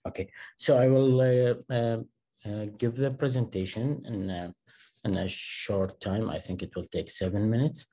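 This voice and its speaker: Guy on a video call from his office, low dark voice, polite, some uhs. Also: a little slow. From Iraq. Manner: polite, hesitant. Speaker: neurosurgeon, webinar speaker. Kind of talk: conference discussion. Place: small indoor office. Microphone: video call with earphones.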